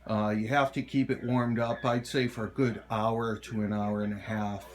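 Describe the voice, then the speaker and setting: A middle-aged man giving a casual, halting show-and-tell as a hobbyist, unhurried and keen on the details. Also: lowish voice, little pitch movement. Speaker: hobbyist presenter of a radio collection.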